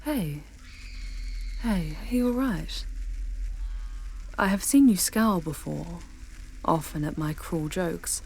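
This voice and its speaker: A woman's voice: on the low side, slow, pauses often, darkish tone, a little hiss or hum. A woman voicing a proud, sardonic, protective female demon in an ASMR audio drama, speaking with haughty command and dropping into whispers. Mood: concerned, probing.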